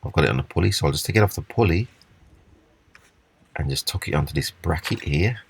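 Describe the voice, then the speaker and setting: A man with a husky voice giving a casual, unscripted, step-by-step walkthrough of hands-on repair work. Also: extremely low pitch, expressive, brisk, slight background noise. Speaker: repair demonstrator.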